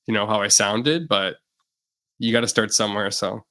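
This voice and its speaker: Young guy musician on a podcast, bright voice, lots of likes and ums. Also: fairly low pitch, moderate pace. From Canada. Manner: relaxed, rambling with many fillers. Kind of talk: casual interview chat.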